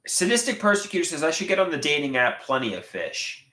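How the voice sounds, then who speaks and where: A man in his late thirties talks casually to his livestream viewers in a bright, fairly high voice, and his nose sounds stuffed. He stumbles and restarts his sentences often, and his pitch swings widely. The room around him is noticeably echoey. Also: big pitch swings. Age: 30s. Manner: halting, repeats words and restarts sentences. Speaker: livestream host. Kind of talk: casual livestream chat reading viewer comments.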